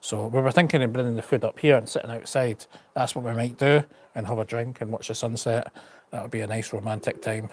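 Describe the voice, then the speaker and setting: A man's voice: mid-range voice, steady tone, fairly quick, a little hiss or hum. A man with a Scottish accent chatting casually to his live stream, rambling and frank, with a slight stutter and repeated words. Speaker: travel vlogger on a live stream. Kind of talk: casual chat.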